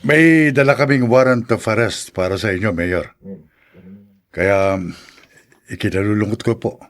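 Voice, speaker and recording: A man's voice: lowish voice, unhurried. A man with a Filipino accent playing a polite, reluctant police officer in a radio drama, apologetic and hesitant.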